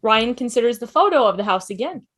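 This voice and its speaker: A woman's voice: fairly high pitch, big pitch swings, fast, a bit bright. A woman reading scripted stage directions as narrator of a table read.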